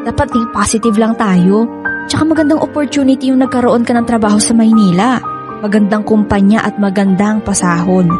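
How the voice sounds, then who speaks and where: A woman playing an excited, loving young bride-to-be who teases her friend, in radio drama style with a Filipino accent and a casual, expressive delivery. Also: medium pitch, brisk, over music, lightly acted. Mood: reassuring, affectionate.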